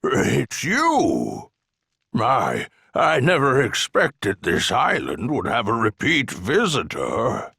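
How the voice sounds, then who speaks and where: An actor playing a gentle old amnesiac island hermit in a video game, with a husky, gravelly voice and a courteous, wistful manner. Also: male voice, medium pitch, wildly swooping pitch, slow pace, dry recording, studio quality, full character voice. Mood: welcoming. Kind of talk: video game cutscene acting.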